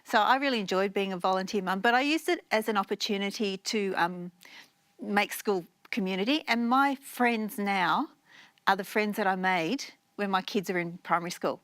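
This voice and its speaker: A woman's voice: mid-range voice, moderate pace, fairly bright tone, no echo. A middle-aged woman chatting about her own views as a panelist. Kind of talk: casual personal chat.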